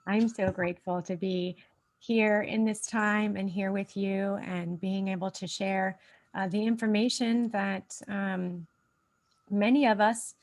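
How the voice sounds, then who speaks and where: A woman's voice: mid-range voice, fairly even intonation, measured pace, darkish tone. A woman hosting a casual spiritual livestream, a bit stuffy in the nose, rambling with many fillers and restarts. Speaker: host.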